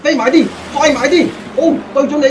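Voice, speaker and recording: A man's voice: extremely high pitch, brisk, far from the mic, noisy background. A male actor playing a fiery, combative bomber gunner in a radio drama. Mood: furious, defiant.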